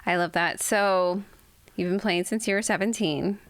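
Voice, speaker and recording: A woman's voice: lowish voice, fairly even intonation, normal speed, no room sound. Encouraging woman podcast host, dry sound. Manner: friendly, encouraging interviewer. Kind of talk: interview hosting.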